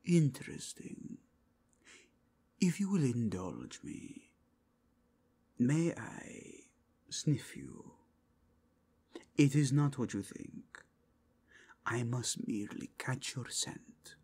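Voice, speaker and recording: A solemn werewolf inquisitor of the church, acted out by a man in an ASMR roleplay. He speaks in a breathy, gravelly whisper, drawing out formal phrases slowly and with zeal. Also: medium pitch, sing-song, heavily acted. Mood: courtly, curious. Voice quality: breathy, hushed rasp. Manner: formal, deliberate, drawn-out phrasing. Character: solemn zealous werewolf cardinal, formal and abrasive.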